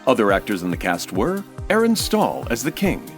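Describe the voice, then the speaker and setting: A man playing a smooth-talking, presentational old-time radio announcer in a comedy show. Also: medium pitch, huge pitch swings, moderate pace, soft background music.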